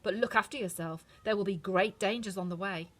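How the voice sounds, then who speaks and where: A woman voicing a kindly, motherly old good witch who speaks in a gentle, reassuring way. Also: mid-range voice, fairly quick, close to an everyday voice. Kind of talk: storybook reading.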